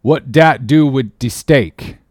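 A man's voice: medium pitch, expressive, a little mellow, no echo, clean studio sound, voice pushed up, lightly acted. Man acting a grumbling old ship's cook. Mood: testy. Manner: slow, drawn-out, testy dialect speech. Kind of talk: audiobook character reading.

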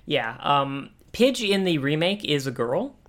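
A man co-hosting a podcast, thinking aloud in halting, casual commentary on pop culture. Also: on the high side, big pitch swings, a bit bright. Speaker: podcast co-host.

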